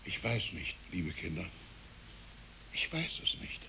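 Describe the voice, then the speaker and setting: A man playing a troubled, gentle old village pastor in a German radio play, hesitant and brooding, moving between murmur and declamation. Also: low voice, very animated, takes it slowly, vocal fry, whispered, full character voice, low-quality recording.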